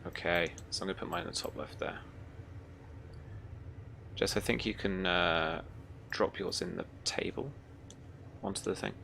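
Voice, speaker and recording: A game master running a tabletop roleplaying game, explaining rules step by step in a relaxed, informal way. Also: sits low, normal speed, gravelly, long pauses, slight background noise.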